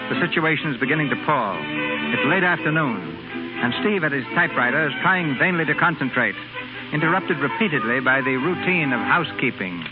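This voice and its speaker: Male 1930s radio announcer over loud music. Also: a little high, expressive, fairly quick. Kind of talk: 1930s scripted radio narration. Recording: muffled 1930s radio recording.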